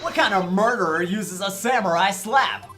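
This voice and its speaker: A woman's voice: mid-range voice, normal speed, fairly bright tone, soft background music, natural voice. A woman voicing a wry, sarcastic young male defense attorney in a video game fan dub, wisecracking and emphatic. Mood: confident, teasing.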